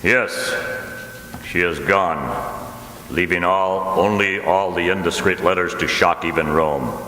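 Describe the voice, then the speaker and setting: A man's voice: deep voice, takes it slowly, bright, forceful tone, full character voice. A man playing a solemn, forbidding priest in a melodramatic radio mystery, grave and declamatory. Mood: grave, urgent. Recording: echoey hall recording with background noise.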